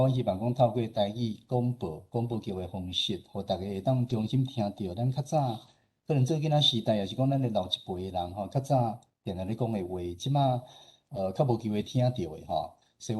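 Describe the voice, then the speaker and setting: A man with a low, husky voice and a Taiwanese accent presents informally. His tone is dark and relaxed as he explains things, often ending phrases on little particles. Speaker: radio station head presenting at a forum.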